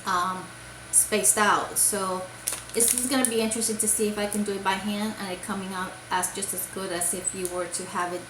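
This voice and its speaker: A woman's voice: medium pitch, flat delivery, normal speed, roomy sound, background noise. A woman from Puerto Rico chatting casually about crafts for her video, rambling with many fillers and restarts. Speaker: crochet vlogger. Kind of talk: casual show-and-tell chat.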